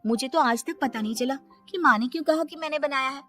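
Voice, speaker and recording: A woman's voice: high-pitched, quick pace, a bit bright, soft background music. A woman voicing an innocent, honest young daughter-in-law in a cartoon story, speaking matter-of-factly. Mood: innocent, candid. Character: guileless, honest young daughter-in-law who cannot lie.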